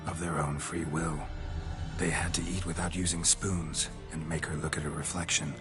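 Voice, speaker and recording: A laconic, world-weary monster hunter in a fantasy game. The voice sits low and delivers clipped, deadpan lines, with music clearly playing underneath. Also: male voice, normal speed. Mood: grim, matter-of-fact. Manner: terse, clipped, dry deadpan. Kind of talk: video game character acting.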